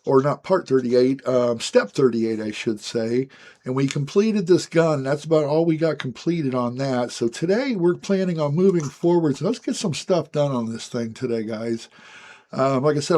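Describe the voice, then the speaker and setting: A man with a hoarse, raspy voice as he gets his voice back, hosting a casual, chatty walkthrough of building a scale model. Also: on the high side, moderate pace. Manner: relaxed, chatty, frequent "guys" and "um". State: hoarse, recovering from lost voice.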